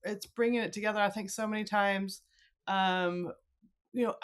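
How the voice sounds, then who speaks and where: A woman's voice: medium pitch, fairly even intonation, normal speed, clear, resonant tone. A middle-aged woman hosting a podcast, talking casually with her guest in a conversational interview style. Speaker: podcast host.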